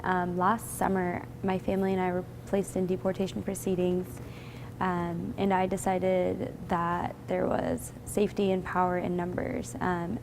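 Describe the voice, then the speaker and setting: A young woman from India, a university student giving a sincere, steady personal statement. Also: lowish voice, creaky, background noise. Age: young adult.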